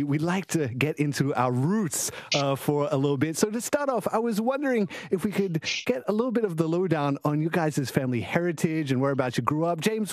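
A man's voice: fairly high pitch, a little mellow, dry recording, studio quality. A man hosting an interview, enthusiastic and slangy, often saying "yo" and "bro". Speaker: host. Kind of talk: upbeat interview.

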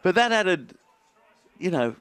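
A man's voice: high voice, very animated, a bit bright, clean tone, no echo. A man with an Australian accent hosting a radio sports show, bantering and reading out listener texts. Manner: laughing, quick, chatty.

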